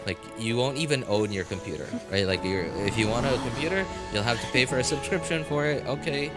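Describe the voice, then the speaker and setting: A man's voice: mid-range voice, a little fast. A man streaming a game, chatting casually and rambling, with many restarts and filler words. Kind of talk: casual chat while gaming on a live stream. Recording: loud game music behind. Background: loud game music.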